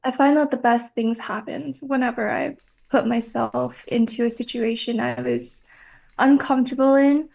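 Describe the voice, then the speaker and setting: A young adult woman whose first language is Vietnamese, answering interview questions in a measured, reflective way with frequent pauses. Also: fairly high pitch, steady tone, vocal fry, a little mellow.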